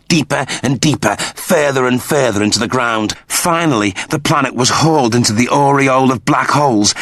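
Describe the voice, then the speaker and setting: A man reading scripted fiction as a narrator, in a deliberate, theatrical storytelling manner. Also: medium pitch, a bit bright, dry recording. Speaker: audiobook narrator.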